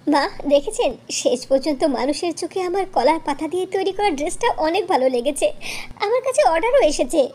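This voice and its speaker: A woman's voice: very high voice, animated, brisk, dark tone. A woman voicing an earnest, anxious young village daughter-in-law who sews dresses, in a fairy-tale cartoon, speaking respectfully. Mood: excited.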